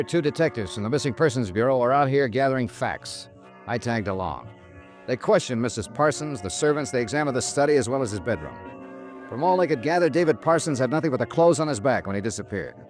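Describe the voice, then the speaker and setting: An actor playing a tough, terse, sardonic investigator in a 1950s radio noir drama, in both dialogue and narration. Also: male voice, medium pitch, close to an everyday voice. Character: tough, blunt hardboiled insurance investigator.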